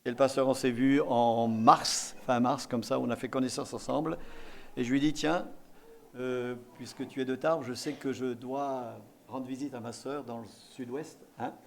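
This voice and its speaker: A man's voice: medium pitch, fairly even intonation, moderate pace, a little hiss or hum. A man from eastern France preaching a sermon as a visiting pastor, exhorting and repeating key phrases for emphasis.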